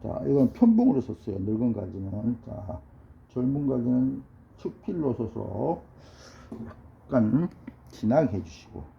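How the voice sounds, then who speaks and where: A hoarse, middle-aged man with a cold gives a measured ink-painting lesson while he paints. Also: medium pitch, sing-song. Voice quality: gravelly. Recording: dull lo-fi sound with faint noise.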